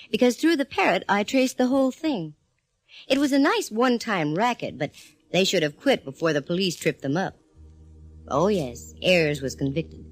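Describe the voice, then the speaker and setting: A woman's voice: medium pitch, no room sound. An actress playing a sassy woman private eye in a 1940s radio drama, breezy and wisecracking. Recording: old radio broadcast with faint organ music.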